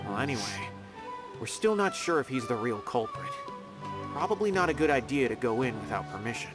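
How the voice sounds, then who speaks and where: Expressive, earnest junior investigator, acted by a man. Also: mid-range voice, a little fast, close to an everyday voice. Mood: hesitant, uneasy. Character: earnest, anxious, loyal male junior investigator. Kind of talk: video game voice acting. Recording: background music.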